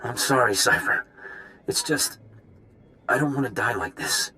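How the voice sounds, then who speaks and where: A wounded male spy from a video game, weakened and desperate. The voice sits at a medium pitch with a tense edge. Each phrase sounds pained and hard to get out. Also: expressive, unhurried, pushed and bright, slight background noise. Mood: despairing. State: in pain, struggling to speak.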